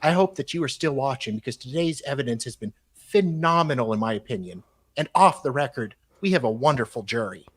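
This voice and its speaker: A man's voice: mid-range voice, animated, brisk, husky. A man on a live panel, giving dramatic readings of emails.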